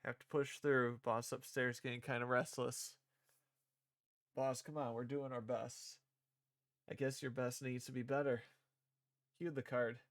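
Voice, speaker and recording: A man's voice: mid-range voice, level tone, unhurried, pauses often, fairly bright tone, dry recording, studio quality. A man reading visual novel dialogue aloud and performing the parts, with casual asides as a streamer.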